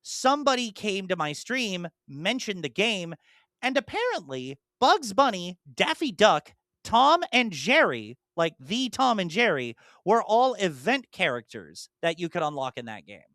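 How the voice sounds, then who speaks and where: A man talks through a casual podcast chat, voice bright and smooth. He rambles with plenty of ums and restarts and slips into animated, mock-fed-up asides. It sounds dry and close, with no room behind it. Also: high voice, a little slow, dry recording. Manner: rambling, many fillers and restarts. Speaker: podcast co-host and streamer.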